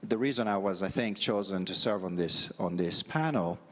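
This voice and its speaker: Middle-aged man, panel speaker, very deep voice, hesitant and filler-heavy, long hall echo. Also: a bit bright. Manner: hesitant, halting with many fillers. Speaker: panelist, engineering dean. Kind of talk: panel talk. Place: large conference hall.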